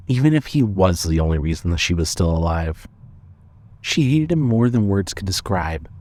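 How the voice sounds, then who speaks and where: A man's voice: medium pitch, a little mellow. A man narrating a scripted fiction story as the narrator of an audio drama.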